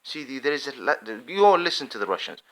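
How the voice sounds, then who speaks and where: A man's voice: mid-range voice, sing-song, fast talker, fairly bright tone. A man giving an impassioned, rambling unscripted monologue to camera. He often restarts his phrases. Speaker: video commentator.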